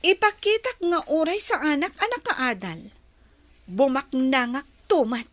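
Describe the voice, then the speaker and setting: A woman playing a proud, ambitious young daughter who is sharp with her mother, in a radio drama. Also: high voice, animated, unhurried, no room sound. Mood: defiant.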